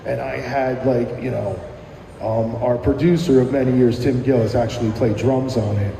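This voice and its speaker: A man's voice: mid-range voice, fairly even intonation, moderate pace, vocal fry, mellow, slight background noise. A middle-aged man from New Jersey, a musician casually reminiscing in an interview with lots of fillers and restarts. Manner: rambling, many fillers and restarts. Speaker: musician guest. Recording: echoey room with long reverb.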